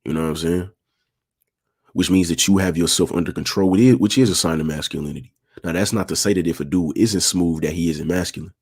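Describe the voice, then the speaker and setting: Raspy deep-voiced man, laid-back and smooth. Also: quick pace, darkish tone. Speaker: livestream host. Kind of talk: casual livestream talk.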